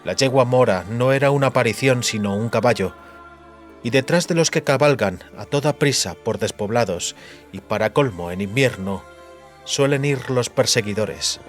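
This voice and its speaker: Guy narrating a fantasy audiobook, medium pitch, bit of music behind him. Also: moderate pace.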